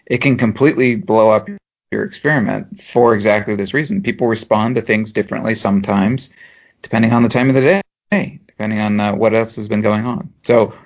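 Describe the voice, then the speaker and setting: A man, a professor co-hosting a podcast, explaining ideas in a measured way with dry humour. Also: on the low side, steady tone, dull audio. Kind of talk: explanatory chat.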